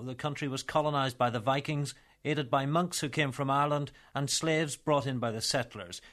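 Guy doing measured documentary narration, formal and even. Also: mid-range voice. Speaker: documentary presenter and interviewer.

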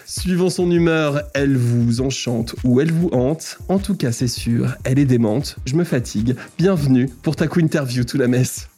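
A man's voice: medium pitch, moderate pace, few pauses, warm tone, soft background music. A man hosting a podcast interview, playful and teasing, fond of flowery introductions. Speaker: podcast host.

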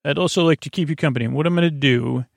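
A man with a creaky voice hosting a bedtime podcast, talking in a lulling, halting, meandering monologue that keeps drifting into tangents. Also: on the high side, no room sound, studio quality. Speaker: podcast host.

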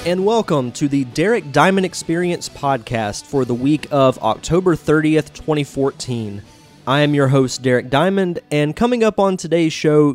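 A man's voice: fairly high pitch, a little mellow. A man hosting his podcast, talking in a chatty, enthusiastic way while congested with a sore throat. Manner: chatty, enthusiastic, with many fillers. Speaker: podcast host. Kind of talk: casual podcast monologue.

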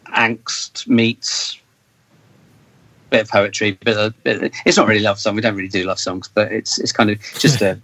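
A man's voice: fairly low pitch, fairly bright tone, rough recording. A British man chatting casually as a band member in an interview, rambling and thinking aloud as he goes.

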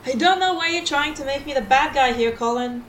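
A woman's voice: high voice, brisk, fairly bright tone, a little hiss or hum. A woman acting in an audio drama as a guarded woman with divine powers, evasive and defensive.

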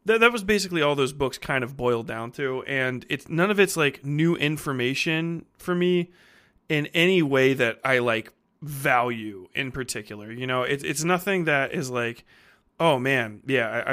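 A male podcast host with a crisp, forward, bright voice. He rambles through a book discussion in a casual, conversational way and swears freely. His pitch sits in an ordinary middle range.

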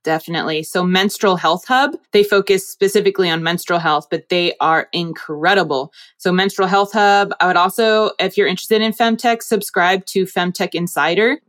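A woman's voice: normal speed, hardly any pauses. Bubbly woman from New Jersey. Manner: bubbly, animated, jumps up in pitch when quoting people. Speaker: guest, femtech investor. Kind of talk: podcast interview.

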